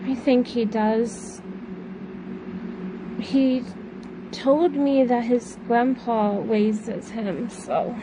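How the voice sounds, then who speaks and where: A woman playing a subdued, gentle young daughter in an amateur audio drama, speaking haltingly and trailing off. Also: on the high side, steady tone, background noise. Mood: hesitant, subdued.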